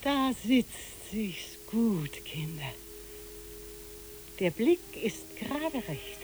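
Dreamy eccentric boat-rental woman, unhurried, highly varied intonation, radio play. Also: very animated, noisy background, exaggerated voice. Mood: dreamy, musing. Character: dreamy, eccentric woman who rents out boats. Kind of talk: literary radio play acting.

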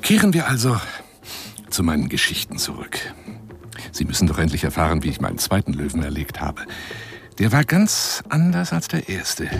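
A man's voice: low-pitched, full character voice. A man playing a boastful, touchy lion hunter in a radio play monologue, speaking in a wheedling, pompous manner. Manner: wheedling, pompous, swinging into whispers. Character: boastful, touchy male lion hunter telling tall tales.

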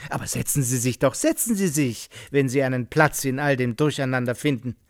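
A man's voice: mid-range voice, normal speed, no room sound, clean studio sound, natural voice. An actor playing an affable, middle-aged male academic in a German radio play, effusive and over-polite as he talks. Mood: effusively hospitable.

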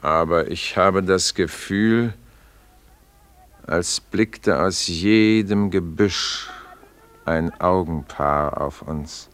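A man's voice: low-pitched, drawn-out words, a bit bright, smooth, heavily acted. An actor playing an uneasy, searching expedition man with an anxious, questioning manner, in a German radio play. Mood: bewildered, hesitant. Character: uneasy, questioning male explorer, wistful and searching.

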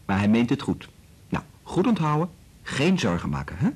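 A man playing a kind, patient scientist in a radio drama, speaking in a gentle, reassuring, informal way. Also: mid-range voice, huge pitch swings, normal speed, a little hiss or hum. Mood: encouraging.

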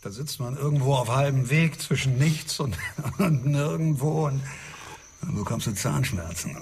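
A man's voice: medium pitch, moderate pace, a little mellow, close to an everyday voice. An actor as an irritable, coarse man, insects behind. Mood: grumbling. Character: gruff, sweary master of the house with toothache. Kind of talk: German radio drama acting. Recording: background noise, chirping insects.

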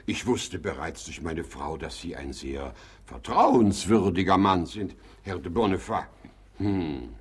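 Ailing doting husband, husky voice, big pitch swings, theatrical, sentimental, radio comedy. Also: male voice, expressive, measured pace, full character voice. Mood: scheming. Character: doting, gullible, ailing wealthy husband making his will. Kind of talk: German radio comedy acting of a classic play.

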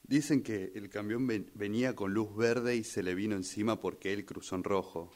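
Un actor como hijo en duelo, argentino, dubitativo. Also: male voice, on the low side, steady tone, normal speed, darkish tone, natural voice. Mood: uneasy, suspicious. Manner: hesitant, repeats phrases. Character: grieving son troubled by suspicions over his father's death. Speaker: radio drama workshop participant. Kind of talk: rehearsed radio drama acting.

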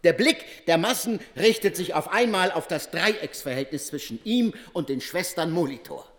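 Man, scripted radio docudrama narration, somewhat high voice, measured, reporting delivery. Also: expressive, fairly bright tone. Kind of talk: scripted documentary-drama narration.

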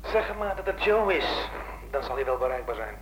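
A man's voice: mid-range voice, sing-song, a little fast, a crowd in the background, natural voice. A man acting in a radio play as a bitter, menacing caller who taunts and insists. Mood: curt, demanding. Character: menacing, bitter man tormenting an old acquaintance by phone. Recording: noisy radio play recording, telephone-like.

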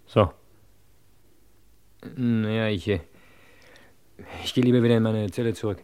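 Timid, frightened prisoner played by a man, low-voiced. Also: steady tone, unhurried, a little mellow, slight background noise, lightly acted. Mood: uneasy. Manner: hesitant, faltering, overly polite. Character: frightened, timid male prisoner, a police informer. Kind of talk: German crime radio play acting.

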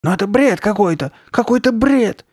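A man's voice: high-pitched, animated, moderate pace, darkish tone, no echo, clean studio sound, pitched above the performer's own voice. A man voicing a panicking, hot-tempered girl in a horror storybook, wailing and exclaiming desperately. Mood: shocked, frantic. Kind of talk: dramatised horror storybook reading.

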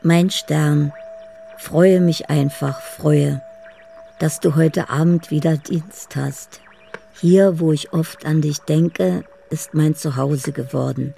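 An actress playing a dreamy, lovelorn woman in a radio play, speaking poetic love letters in a deliberate, solemn way. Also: deep voice, steady tone, vocal fry, warm tone, soft background music, exaggerated voice. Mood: tender, solemn. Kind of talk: radio play inner monologue.

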